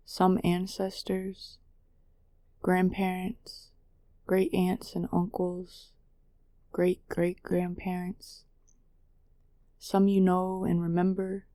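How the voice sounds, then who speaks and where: A woman narrating a guided meditation in a soothing, measured way with long pauses. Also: lowish voice, warm tone, no room sound.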